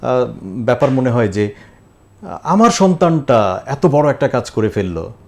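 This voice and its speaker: A man with a Bangladeshi accent hosting a reflective interview, asking long questions in a deliberate way with pauses mid-sentence. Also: medium pitch, very animated. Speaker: talk show host.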